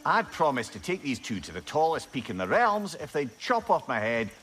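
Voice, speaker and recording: An actor playing a wry old sage who lives as a talking severed head, speaking dry and sardonic. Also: male voice, mid-range voice, very animated, moderate pace, fairly bright tone, faint music behind. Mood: chatty. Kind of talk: video game cutscene acting. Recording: game audio with noisy ambience.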